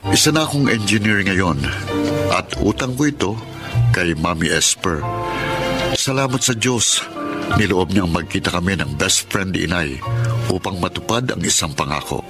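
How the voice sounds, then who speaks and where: A man's voice: sits low, lively intonation, normal speed. An actor with a Filipino accent playing a polite, humble young working-class man in a radio drama, earnest and deferential. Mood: grateful, reflective. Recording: music behind the dialogue.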